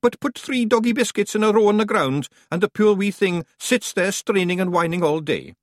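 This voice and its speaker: A man's voice: high-pitched, moderate pace, no room sound, clean studio sound, voice pushed up, close to an everyday voice. A man doing a rowdy little Scottish fairy man. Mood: brash cheery. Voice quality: broad and boisterous. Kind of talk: audiobook character voice.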